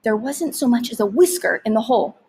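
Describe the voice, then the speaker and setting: A woman's voice: a little high, expressive, a little fast, creaky, a little mellow, slightly rough. A woman reading a story aloud expressively, like a teacher narrating to students.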